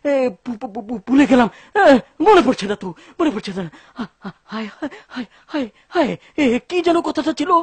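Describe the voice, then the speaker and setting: An actor playing a greedy, excitable man in a cartoon, stammering and repeating his words. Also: extremely high pitch, brisk, hardly any pauses, slightly rough, no room sound, exaggerated voice. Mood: panicked, desperate. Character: greedy, excitable man trapped in a treasure cave. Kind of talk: exaggerated cartoon dub acting.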